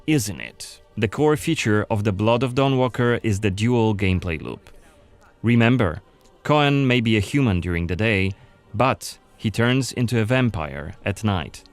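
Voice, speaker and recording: Fairly low-pitched man narrating. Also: animated, normal speed. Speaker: game presenter. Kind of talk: scripted narration. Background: faint music.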